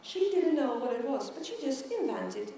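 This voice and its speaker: A middle-aged woman with a Swedish accent speaks English to a conference audience, and she often stutters and repeats her words. Her voice sits high. It comes from a distance in a large, echoing hall, with faint noise underneath. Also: sing-song, moderate pace, warm tone. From Sweden. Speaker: keynote speaker. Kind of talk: conference keynote speech. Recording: echoey hall, mic from far off.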